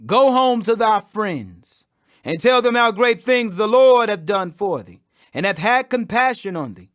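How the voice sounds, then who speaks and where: A commanding yet compassionate holy healer voiced by a male narrator speaks high and clear of purpose. Each phrase is declared with deliberate, authoritative emphasis and wide melodic movement in this scripture reading. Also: lively intonation, fairly bright tone. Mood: tender, firm.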